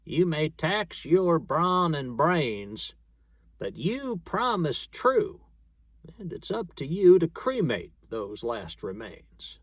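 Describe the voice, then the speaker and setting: Man voicing an insistent corpse, high and drawn-out. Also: sing-song, no echo, heavily acted. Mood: eerie, insistent. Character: frozen corpse, eerily reminding of a promise. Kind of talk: dramatic poetry reading.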